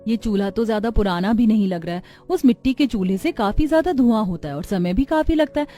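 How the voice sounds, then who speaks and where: A woman's voice: a little high, lively intonation, fast, warm tone, faint music behind, close to an everyday voice. A woman voicing a resourceful, caring young village housewife and mother in a cartoon story. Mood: curious, practical.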